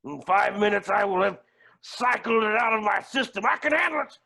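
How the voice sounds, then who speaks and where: Man playing a cocky, creaky-voiced billionaire villain. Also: high voice, animated, brisk, bright, forceful tone, full character voice. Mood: smug. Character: cocky, theatrical billionaire villain, stoic through pain. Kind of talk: staged play reading.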